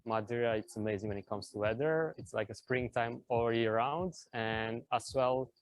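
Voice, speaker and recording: A man with a Romanian accent talks casually, as if in a video testimonial. His voice sits at a middle pitch and the sound is dry, with no room echo. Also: normal speed. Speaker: digital nomad giving a testimonial.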